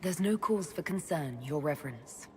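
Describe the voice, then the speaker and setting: A woman's voice: deep voice, expressive, normal speed, mellow, a little hiss or hum. A woman playing a composed, direct heroine and leader in a fantasy video game. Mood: firm. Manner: plain-spoken, direct. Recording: music behind the voice.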